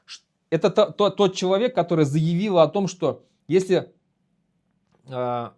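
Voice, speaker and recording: A man with a fairly high voice gives a solo commentary to camera. He is a native Bashkir speaker from Bashkortostan. He sounds earnest and indignant, pausing and restarting often. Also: expressive, a little mellow. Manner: earnest, indignant, halting with fillers. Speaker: video host and activist.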